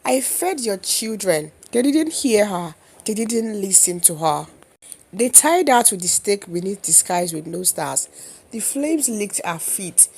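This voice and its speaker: A woman with a Nigerian accent tells a folktale in dramatic style. Her pitch moves widely and expressively. She phrases things deliberately, with a solemn, theatrical air. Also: animated, vocal fry, a little mellow, slightly rough. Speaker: storyteller.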